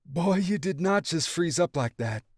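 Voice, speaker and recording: A man's voice: on the high side, expressive, a little slow, darkish tone, slightly rough, no room sound, studio quality, voice pushed up. A man voicing a wry amused mother. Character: amused mother, sipping tea, fond.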